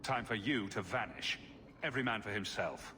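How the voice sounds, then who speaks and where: An actor playing a stern, sceptical hero in a video game, blunt and challenging. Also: male voice, lowish voice, animated, moderate pace, noisy background, lightly acted. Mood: blunt, dry. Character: stern, sceptical male hero confronting a suspect. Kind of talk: dramatic video game acting.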